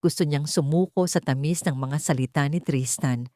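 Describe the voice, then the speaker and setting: A woman narrates a dramatic love story in a low register. The sound is dry, with no room on it, and the delivery is that of a scripted audiobook storyteller. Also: moderate pace, mellow, no echo.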